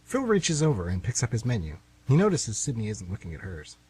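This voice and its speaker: A man's voice: fairly low pitch, huge pitch swings, brisk, a little mellow, slightly rough, rough recording. A man reading scripted narration as the narrator of a radio play.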